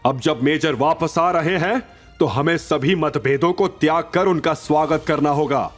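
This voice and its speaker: Casting: male reader as middle-aged underworld supremo, proud and declamatory, higher-pitched delivery, audio drama over music. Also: measured pace. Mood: commanding, solemn. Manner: declamatory, stretched emphatic phrases.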